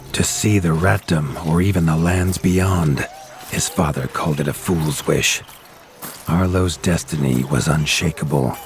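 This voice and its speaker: A man with a very deep, low voice tells the tale as the narrator of a storybook game. He keeps a grave, measured storyteller's pace. The studio voice-over sounds clean. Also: a little mellow.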